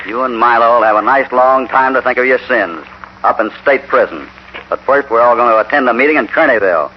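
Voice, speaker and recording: A man playing a steady, wry cowboy hero with calm authority in a 1950 radio Western. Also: mid-range voice, brisk, close to an everyday voice. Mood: firm, commanding. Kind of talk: 1950s radio Western acting. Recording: scratchy, muffled 1950 radio broadcast, loud hiss.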